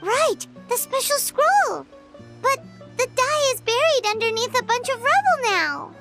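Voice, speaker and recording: Tiny fairy game companion: childlike, blunt, extremely high, very animated delivery. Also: female voice, a little slow, music in the background, exaggerated voice. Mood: curious, blunt. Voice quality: squeaky, childlike. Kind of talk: video game voice acting.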